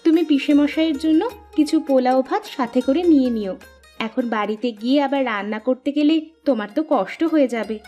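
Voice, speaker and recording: A woman voicing a lively, bossy but caring young woman in a cartoon, with a chirpy, insistent manner. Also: sits high, fast talker, lo-fi sound, soft background music, natural voice. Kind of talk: cartoon dialogue acting.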